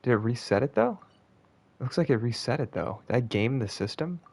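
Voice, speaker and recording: A man with a gravelly, fry-laden voice and lively swings in pitch comments casually as he streams a game. He is blunt and sweary, quick to sound exasperated. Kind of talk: casual gaming commentary with reading of on-screen text.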